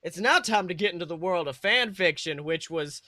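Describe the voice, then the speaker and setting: High-voiced man bantering on a podcast. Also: normal speed, no room sound. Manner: animated, crude joking, swears freely. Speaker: podcast co-host. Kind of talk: casual comedic podcast banter.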